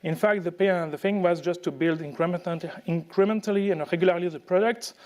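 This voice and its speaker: French-accented man, halting conference talk. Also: high-pitched, a little mellow. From France. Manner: halting, frequent restarts and fillers. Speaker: conference speaker. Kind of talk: conference presentation.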